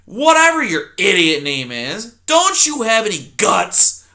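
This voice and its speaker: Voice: mid-range voice, huge pitch swings, a little slow, sharp tone, pitched above the performer's own voice, heavily acted. Reader doing a grouchy old porcupine hermit, barking insults with a swooping voice. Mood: scornful. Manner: scornful, bellowing, insult-spitting. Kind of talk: storybook reading.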